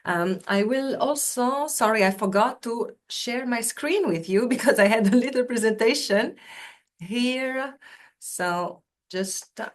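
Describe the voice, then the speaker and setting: A woman's voice: on the high side, a little slow. Woman from Tunisia, recruiter presenting on a video call, chatty and hesitant. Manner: chatty, many fillers and restarts. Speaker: university student recruitment officer. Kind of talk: webinar presentation.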